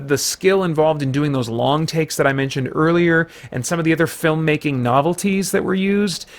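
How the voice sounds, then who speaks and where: Male reviewer-host, slightly high voice, conversational, unscripted, feels out his phrasing and self-corrects. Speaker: film reviewer, channel host. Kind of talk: unscripted review commentary to viewers.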